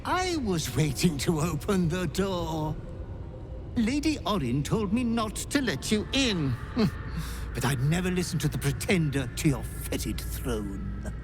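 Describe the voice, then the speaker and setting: A man's voice: on the high side, very animated, unhurried, background noise. A man playing a ghoulish, gleefully devoted servant creature in a video game, obsequious and theatrical. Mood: sly devotion. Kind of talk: dramatic video game character acting.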